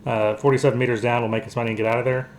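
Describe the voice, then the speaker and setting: A man co-hosting a show, casually discussing movies in a halting, hedging way as he explains things. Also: mid-range voice, little pitch movement, slight background noise. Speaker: podcast co-host.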